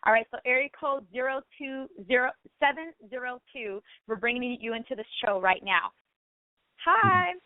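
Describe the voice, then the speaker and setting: A woman's voice: high voice, moderate pace, dry recording, narrow, tinny audio. A woman hosting a live call-in show, encouraging and affectionate with the people she talks to. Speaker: radio show host taking listener calls.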